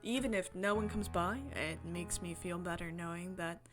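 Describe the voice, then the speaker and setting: A woman's voice: fairly low pitch, steady tone, normal speed. A woman streaming a visual novel, reading the narration aloud in a casual way with her own commentary. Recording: game music behind the voice. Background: guitar game music.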